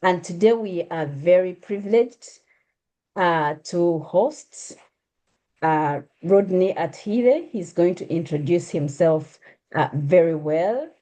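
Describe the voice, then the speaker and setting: A woman's voice: fairly low pitch, slow, warm tone. A Ugandan woman hosting an interview, talking with frequent fillers and restarts as she introduces her guest and asks questions. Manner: hesitant, many uh fillers and restarts. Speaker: host. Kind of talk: conversational interview.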